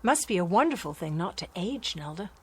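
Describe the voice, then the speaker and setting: A woman's voice: on the low side, big pitch swings, a little fast, slightly rough. A woman playing a restless, dutiful young daughter in a radio drama, polite but strained. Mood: guarded, edgy. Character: restless, dutiful grown daughter, quietly rebellious.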